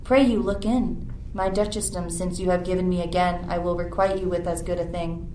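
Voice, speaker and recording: A woman playing a commanding exiled duchess and mother in a Shakespeare radio play, speaking formally and deliberately. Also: fairly low pitch, fairly even intonation, warm tone, lightly acted. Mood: stately. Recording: noisy recording with ambient sound texture.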